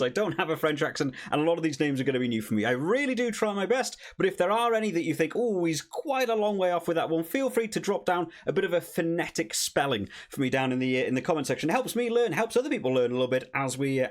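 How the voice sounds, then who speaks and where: A man hosting his own rugby channel, talking through a team list in a casual, upbeat and chatty way. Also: fairly high pitch. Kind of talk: casual sports commentary to camera.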